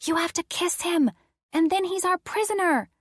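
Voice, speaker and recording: A woman's voice: moderate pace, dry recording, clean studio sound. A woman voicing a playful little girl who squeals and gives orders. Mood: giggly bossy. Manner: high, squealing, bossy.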